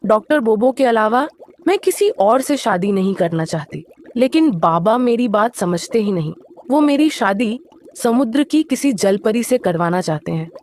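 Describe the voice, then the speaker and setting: Woman acting a high-voiced lovelorn young mermaid. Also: lively intonation, moderate pace, darkish tone, a little hiss or hum, lightly acted. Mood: wistful. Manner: pleading, earnest. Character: young lovelorn mermaid daughter, earnest and devoted. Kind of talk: Hindi cartoon moral story acting.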